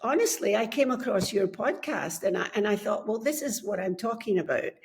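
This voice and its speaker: An older woman with a Scottish accent, a book coach and podcast guest, telling her story in a measured, friendly way on a remote call. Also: medium pitch, vocal fry. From Scotland. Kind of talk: casual interview chat. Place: home, on a remote call from abroad.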